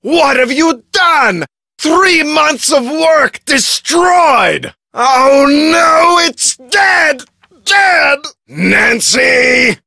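An actor playing an arrogant, irritable research scientist in a video game, with pompous, formal and brusque speech. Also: male voice, extremely high pitch, lively intonation, drawn-out words, creaky, pushed and bright, raised voice, exaggerated voice. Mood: outraged, frantic. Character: irritable, arrogant, self-important male research scientist with explosive temper.

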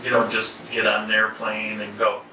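A man's voice: lowish voice, completely flat delivery, moderate pace. A man asks questions from behind the camera in a casual, teasing way. His voice comes from some distance and bounces around an echoey room with background noise. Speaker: interviewer. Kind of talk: casual interview. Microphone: off camera, distant from the mic.

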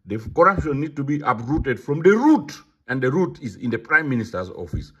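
A man's voice: medium pitch, slightly rough. A man with a Ugandan accent speaking in a casual monologue, emphatic and given to repeating himself. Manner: repetitive, emphatic. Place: London.

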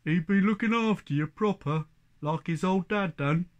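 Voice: mid-range voice, moderate pace, dull audio, close to an everyday voice. A storyteller voicing a friendly, gossipy farm cow with homely rural speech in a folk tale. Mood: chatty.

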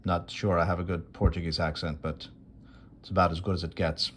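A man hosting his own coin video, narrating an unboxing from off camera in a dry, self-deprecating way with unhurried pauses. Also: low-pitched, flat delivery, slight background noise. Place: indoors at a marble tabletop. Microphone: phone camera held over a table.

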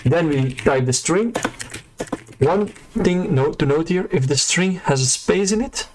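A man's voice: a little high, lively intonation, unhurried, a little mellow, slight background noise, low-quality recording. Casual man explaining code, lots of ums. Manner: casual, many fillers and restarts. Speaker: web developer tutor. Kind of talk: screen-recorded coding tutorial.

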